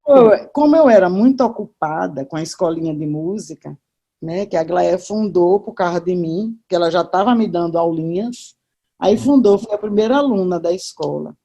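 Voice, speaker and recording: A woman's voice: lowish voice, expressive, normal speed, dark tone. An older woman with a Northeastern Brazilian accent, reminiscing casually as an interview guest, chatty and full of asides and tag questions.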